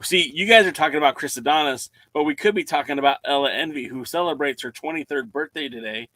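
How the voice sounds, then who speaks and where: A man's voice: on the high side, fast, bright, forceful tone, no room sound, rough recording. A man hosting a casual podcast, steering the conversation and moving it on to the next topic. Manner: steers the talk, moves topics along. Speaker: podcast host.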